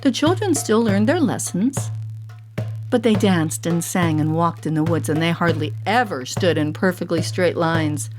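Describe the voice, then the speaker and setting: A woman narrating a children's story in a theatrical, deliberate way, with music behind her. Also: fairly low pitch, expressive, a little mellow. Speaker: storyteller and voice artist.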